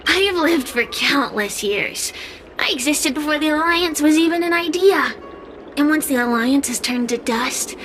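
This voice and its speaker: A woman's voice: high voice, poor audio. A woman voicing an ancient, malevolent flame spirit in a young girl's body, theatrical, defiant and taunting, for a video game. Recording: music under the voice.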